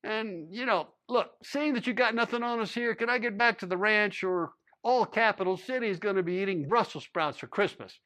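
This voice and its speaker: A man's voice: high-pitched, fairly quick, bright, forceful tone, pitched above the performer's own voice. A man voicing a sly old-west male rancher with a folksy who dodges questions. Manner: folksy, dry, evasive drawl.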